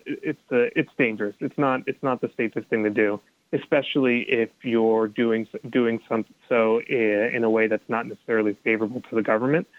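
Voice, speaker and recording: Colombian American man, filmmaker in an interview, halting delivery, phone-quality sound with no room. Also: mid-range voice, no echo. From United States. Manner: hesitant, many restarts and fillers. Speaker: documentary filmmaker. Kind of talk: interview answers. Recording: dry tinny phone-like line.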